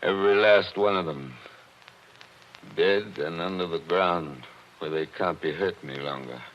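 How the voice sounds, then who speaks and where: An actor playing a tense, haunted attorney who talks in an urgent, brooding way, in 1940s radio acting. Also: male voice, low voice, unhurried, slight background noise. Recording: dull old radio broadcast.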